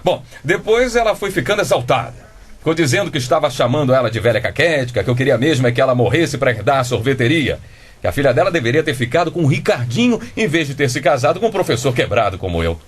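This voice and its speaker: Husky, indignant, pompous husband. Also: male voice, medium pitch, sing-song, fast. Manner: pompous, theatrical. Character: indignant, self-righteous husband, a pedantic Portuguese teacher. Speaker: radio drama actor. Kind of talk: comic radio drama acting.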